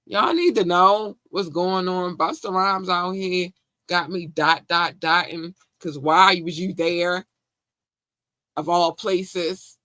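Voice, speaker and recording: Animated Baltimore man, bright voice. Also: high-pitched, takes it slowly, no room sound. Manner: animated, affectionate, slangy, shouting out listeners. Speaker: podcast host. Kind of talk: casual live-stream chat.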